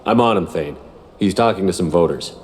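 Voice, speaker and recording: Deep-voiced, brisk military commander. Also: male voice, animated, darkish tone, slight background noise. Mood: focused, matter-of-fact. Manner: clipped, matter-of-fact. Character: businesslike, no-nonsense male military commander on surveillance. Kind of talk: video game voice acting.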